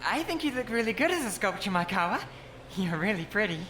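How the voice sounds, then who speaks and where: A woman's voice: medium pitch, very animated, fast talker, a bit bright, echoey room, a little hiss or hum. A woman voicing a timid, hesitant young man who mumbles, in a fan audio drama. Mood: shy. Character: shy, meek young man.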